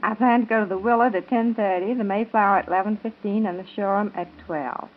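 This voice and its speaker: A woman's voice: medium pitch, steady tone, fast talker. An American woman giving formal, gracious answers as a guest in a 1930s radio interview. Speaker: pageant queen being interviewed. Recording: phone-like 1930s radio recording.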